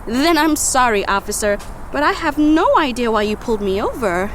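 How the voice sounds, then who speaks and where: A woman's voice: high voice, sing-song, normal speed, a bit bright, slight background noise, low-quality recording. A woman playing a nervous, apologetic driver in an audio drama, hesitant and polite.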